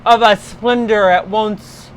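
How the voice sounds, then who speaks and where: A woman reading a literary horror story aloud in a solemn, deliberate, dramatic storytelling style. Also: mid-range voice, steady tone, a little hiss or hum.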